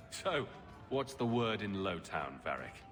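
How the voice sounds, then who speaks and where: An actor playing a bold, defiant champion adventurer in a video game, direct and dry. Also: male voice, on the low side, big pitch swings, slow pace, fairly bright tone, faint music behind, full character voice. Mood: casual, teasing.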